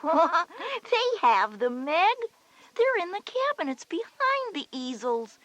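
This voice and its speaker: A schoolgirl older sister, chatty and sure of herself in a children's audio drama. Her voice is high and closely recorded with very little room, and she explains things in a reassuring, big-sisterly way. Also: sing-song, takes it slowly, fairly bright tone, dry recording, full character voice. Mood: reassuring, bossy.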